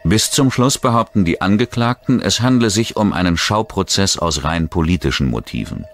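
Deep-voiced man narrating true crime. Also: expressive, moderate pace. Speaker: documentary narrator. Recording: music bed behind the voice. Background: background music.

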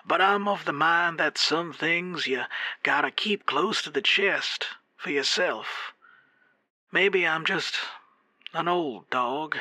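A man playing an elderly, wistful werewolf fiddler in an audio drama, speaking plainly and haltingly with sighing pauses. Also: on the high side, sharp tone, husky, dry recording, full character voice. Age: older.

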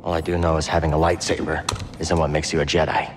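An actor playing an idealistic young hero in a video game, sincere and understated. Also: male voice, a bass voice, level tone, fast, background noise. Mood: earnest, guarded. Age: young adult. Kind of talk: video game cinematic acting.

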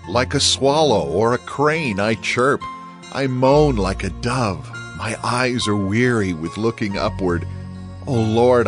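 A man's voice: mid-range voice, lightly acted. Casting: male actor as devout, suffering king for audio scripture drama; theatrical, earnest delivery in a middle register. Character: ailing, devout king pleading and lamenting.

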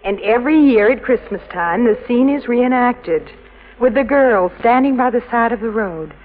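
An actress playing a knowing, teasing sweetheart in a vintage radio drama. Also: female voice, on the high side, lively intonation, moderate pace. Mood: solemn. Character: knowing, teasing sweetheart explaining a local ghost legend. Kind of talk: 1950s radio drama acting. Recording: noisy, muffled old radio recording.

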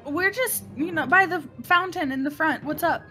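Suspicious, excitable young superheroine woman acting, high and bright, insistent, repetitive, game roleplay. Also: normal speed, faint music behind. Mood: anxious, suspicious. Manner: excitable, insistent, repeats herself. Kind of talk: improvised video game roleplay.

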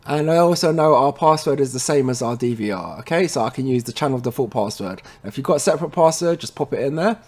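A man presenting a technical tutorial in an informal way, talking viewers through each step and often checking in with a quick tag word. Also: medium pitch, animated, darkish tone. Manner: informal, addresses viewers as guys, frequent tag okay. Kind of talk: casual screen-recorded how-to walkthrough.

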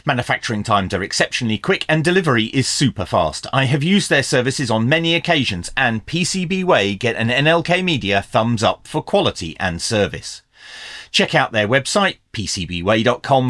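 A middle-aged man hosts a product review in a medium-pitched voice. His intonation moves up and down a lot as he presents. The sound is dry, with very little room echo. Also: big pitch swings, fairly quick, a bit bright. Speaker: YouTube host reviewing radio gear. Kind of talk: scripted product review with hands-on commentary. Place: small indoor electronics workshop.

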